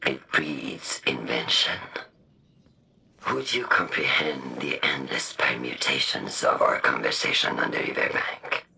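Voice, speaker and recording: An actor voicing a grave, grandiose male visionary in a video game monologue, speaking in a slow, portentous, oratorical way. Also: a bass voice, animated, gravelly, sharp tone, heavily acted, low-quality recording. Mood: grave, imperious. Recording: music under the voice.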